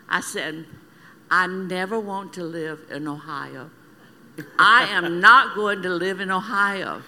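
Slow, bright-voiced older woman laughing through a testimony. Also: medium pitch. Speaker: missionary speaker. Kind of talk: church testimony. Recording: reverberant hall with faint ambience.

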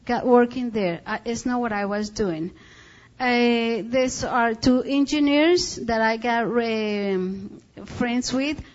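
Colombian woman missionary, fairly high voice, slow halting report talk, dull lo-fi recording. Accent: Colombian Spanish. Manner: halting, searching for English words.

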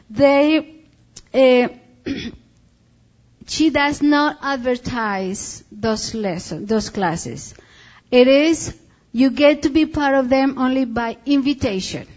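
A woman's voice: on the high side, muffled recording. A woman from Colombia with a Colombian Spanish accent, giving a missionary report talk and often stopping to search for English words.

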